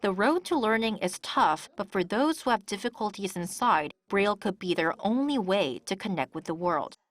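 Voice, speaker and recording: A woman's voice: mid-range voice, animated, moderate pace. A woman reporter delivering scripted narration for a television news feature.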